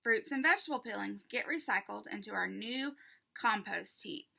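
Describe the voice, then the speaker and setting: A woman reading a children's story aloud in an expressive, deliberate way meant for young listeners. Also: medium pitch, creaky, breathy edge.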